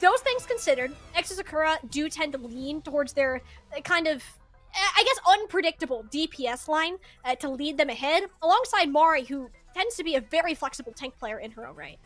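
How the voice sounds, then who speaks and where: A woman's voice: high voice, lively intonation, fairly quick, a bit bright. A woman casting esports, chatting through a match preview in a playful, emphatic way. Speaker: esports broadcast caster. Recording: music playing behind. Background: background music.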